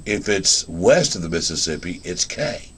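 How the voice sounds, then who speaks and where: A man's voice: low voice, sing-song, moderate pace, a bit bright, a little hiss or hum. A man hosting a casual live radio interview in an informal, rambling, conversational way. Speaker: radio show host.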